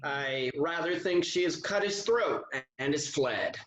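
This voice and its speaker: An actor playing a wry, sardonic young English gentleman soldier in a Restoration comedy, declaiming with dry mockery. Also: a little high, animated, measured pace, reverberant, poor audio. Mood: wry, impatient. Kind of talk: Restoration comedy stage acting.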